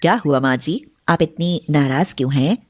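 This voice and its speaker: A woman playing a caring, sensible young eldest daughter-in-law in an animated moral story, polite and respectful. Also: low voice, moderate pace. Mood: concerned.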